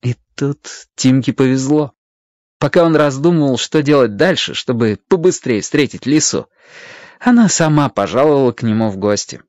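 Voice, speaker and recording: A narrator reading a radio-play story in an expressive, scripted storytelling style. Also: medium pitch, normal speed, dry recording, highs cut off.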